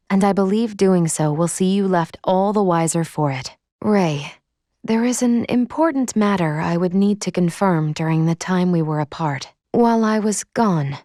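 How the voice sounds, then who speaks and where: A woman voicing a polite, analytical female android in a video game, with formal, measured diction and a caring, fussy manner. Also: on the low side, a little mellow, dry recording, studio quality, close to an everyday voice. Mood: concerned, fussy.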